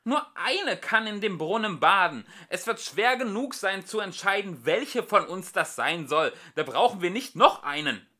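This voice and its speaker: A stern storybook witch, voiced by a man, complains with emphasis. The voice is raised in pitch, forceful and annoyed. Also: animated, measured pace, few pauses, full character voice. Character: stern, worried witch objecting to an intruder.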